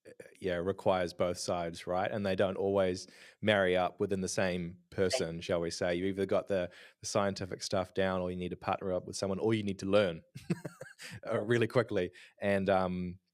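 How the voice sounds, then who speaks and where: A man with a deep voice hosts a podcast interview. He talks in a relaxed way, thinking aloud as he sums up his guests' points. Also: moderate pace. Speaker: podcast host.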